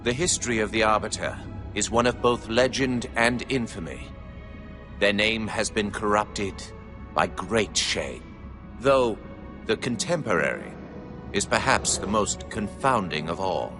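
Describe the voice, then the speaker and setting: Male actor as solemn alien record-keeper, low and formal, uneasy, game music under. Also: close to an everyday voice. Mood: grave. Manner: formal, measured narration. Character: grave, anxious alien male scribe. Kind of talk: video game cutscene narration.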